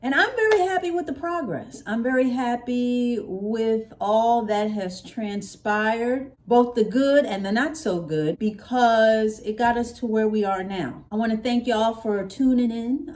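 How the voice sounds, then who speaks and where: Woman, American accent, higher pitch, casual vlog chat, playful, expressive, drawn-out emphasis. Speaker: hair care vlogger.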